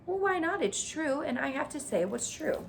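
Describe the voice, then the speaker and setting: Woman acting a female storybook spider, thin pleasant voice, animated pitch, frank, bloodthirsty, noisy recording. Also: normal speed, gravelly, heavily acted. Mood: frank, breezy. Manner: frank, breezy, explanatory. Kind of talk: children's storybook read aloud.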